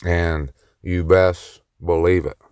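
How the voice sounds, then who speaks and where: Male vlogger, low and unhurried, clear close dry voice, cocky rambling brag. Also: fairly even intonation, a little mellow, dry recording. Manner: boastful and playfully cocky; slow, meandering delivery. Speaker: solo vlogger on the mic. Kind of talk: rambling self-recorded monologue.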